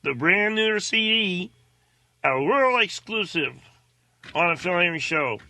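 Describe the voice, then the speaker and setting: A man puts on a dim young sidekick for radio comedy. The voice is piercingly bright and a little high, recorded dry, with halting, earnest delivery. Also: lively intonation, no echo, heavily acted.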